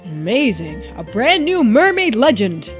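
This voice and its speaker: A woman's voice: fairly high pitch, very animated, a little slow, faint music behind. A woman reading aloud as a cheerful, free-spirited young male traveler in a video game, theatrical and wordy. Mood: eager.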